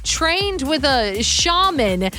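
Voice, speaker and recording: A young adult woman co-hosting a morning radio show, teasing her partner and telling lively stories. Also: sits high, very slow, faint music behind. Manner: teasing, animated storytelling. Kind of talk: casual radio banter. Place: radio studio.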